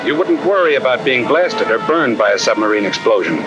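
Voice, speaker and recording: An actor playing a tough-talking, slangy army sergeant who lectures in a 1950 military training film. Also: male voice, medium pitch, expressive, a little fast, lo-fi sound, over loud music, natural voice. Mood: firm, instructive. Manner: blunt, slangy, wisecracking. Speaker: instructor.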